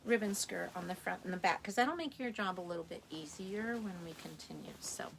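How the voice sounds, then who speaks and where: A woman teaching a live sewing tutorial in a chatty, hands-on way, her voice slightly raspy and tired as she works. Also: lowish voice, a little fast, fairly bright tone, a little hiss or hum, low-quality recording. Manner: chatty, talks while working, restarts often. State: tired, a little hoarse. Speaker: sewing instructor.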